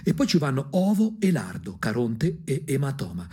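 A man with a Tuscan accent and a husky voice performs an ironic, angry, emphatic theatrical monologue for a punk radio drama. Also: mid-range voice, big pitch swings, moderate pace, warm tone. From Anghiari, Tuscany, Italy. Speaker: stage actor and storyteller. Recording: music under the voice. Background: music.

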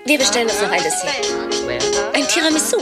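Actress doing a plain-spoken sister in a radio play, chatty and matter of fact, loud music behind her. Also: on the high side, lively intonation, fast talker, natural voice. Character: plain-spoken newfound sister of the agitated wife. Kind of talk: satirical radio play dialogue.

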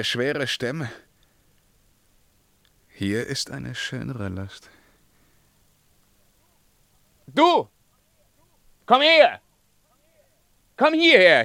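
An actor playing an anguished, imperious ruler in a verse radio drama, declaiming between whispers and loud commands, with a creaky voice. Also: male voice, a little high, no echo, clean studio sound, heavily acted. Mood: commanding, excited. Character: anguished, imperious male ruler mourning his dead beloved.

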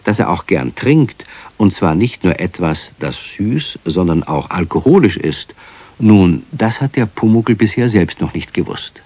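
An actor as the friendly narrator of a children's radio play, telling the tale in a measured, expressive way. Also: male voice, low-pitched, no echo, full character voice. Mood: knowing, friendly. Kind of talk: scripted children's story narration.

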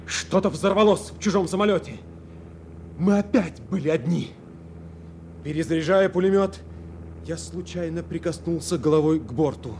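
A man's voice: a little high, normal speed, long pauses, darkish tone, lightly acted. An actor playing a grim, focused male air gunner who recounts combat in first person in an audio drama, noise behind. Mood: relieved, hurried.

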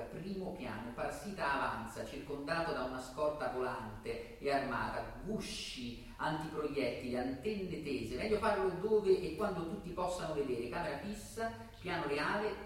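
Echoey, distant man reading drama aloud. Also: mid-range voice. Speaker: reader performing a stage play excerpt. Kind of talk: dramatic reading, narrating stage directions. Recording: distant, very echoey room with faint noise.

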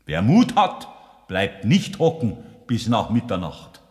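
An actor playing a solemn, scolding preacher in a pompous, declamatory radio-drama monologue. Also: male voice, fairly low pitch, wildly swooping pitch, slow pace, darkish tone, full character voice. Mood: stern, exhorting. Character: solemn, scolding preacher thundering about drinkers and cowards. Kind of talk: theatrical 1960s German radio drama monologue. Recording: long echoing reverb, 1960s radio production.

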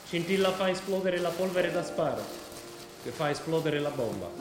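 An actor playing a grave cell leader in a radio drama, speaking with dropped articles. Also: male voice, a little high, expressive, normal speed, natural voice. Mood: methodical. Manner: broken grammar with dropped articles. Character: grave foreign bomb-maker, leader of a terror cell. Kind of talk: stage radio drama, narrating actions in present tense. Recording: roomy stage sound with music.

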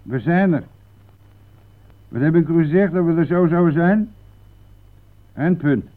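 An actor in a radio play, playing a gruff, impatient male bus driver who grumbles and curses in a brusque manner. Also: on the high side, a little slow, natural voice. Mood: gruff, matter-of-fact. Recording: muffled, narrow-band, noisy old radio play.

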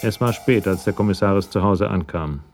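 A man's voice: deep voice, fairly quick, darkish tone, soft background music. A man narrating a crime radio drama from a script in a storytelling role.

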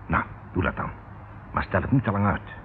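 A man's voice: deep voice, animated, moderate pace. An actor in his fifties playing a coaxing, evasive ship captain in a radio drama. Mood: urgent, hushed. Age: 50s. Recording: tinny band-limited old radio recording, noisy.

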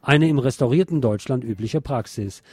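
A man's voice: mid-range voice, normal speed, a little mellow, husky, no echo. A man narrating a scripted documentary.

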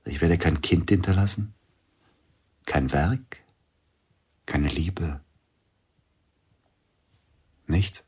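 An actor playing a celebrated pianist, melancholy and weary, speaking softly and often in a whisper in a radio drama. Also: male voice, deep voice, slow pace, slightly rough, exaggerated voice. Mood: despairing. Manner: soft, mournful, often whispering.

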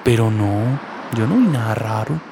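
A man's voice: on the low side, lively intonation, moderate pace, creaky, very noisy. A man playing an obliging, talkative local witness, speaking colloquially in a radio soap.

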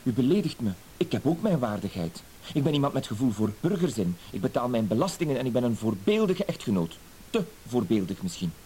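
An actor playing a timid, dutiful husband with wounded dignity, hesitant, wordy and apologetic, in a radio play. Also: male voice, medium pitch, sing-song, fairly quick, mellow, lightly acted. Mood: flustered, indignant. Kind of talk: Dutch-language radio play acting. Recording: hissy old radio play recording.

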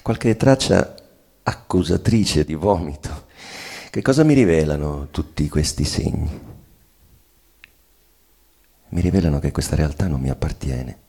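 A tormented bourgeois husband in a dramatic play reading. The voice is very deep, husky and full of fry, declaiming solemnly and bitterly with weighted pauses. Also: male voice, mellow, exaggerated voice. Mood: bitter, accusing. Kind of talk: theatrical monologue reading of a stage play.

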